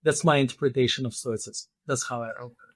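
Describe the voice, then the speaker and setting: A man in his fifties, a podcast guest explaining ideas thoughtfully with many pauses and restarts. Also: medium pitch, fairly even intonation, fairly bright tone, no room sound. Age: 50s. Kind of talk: interview conversation.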